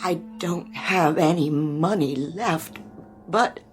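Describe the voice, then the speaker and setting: A kindly, anxious woman in a radio drama. Her voice is on the low side and very unhurried. She speaks softly and hesitantly, with a gentle, grateful manner. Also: soft background music. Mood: worried, pleading. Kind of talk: audio drama acting.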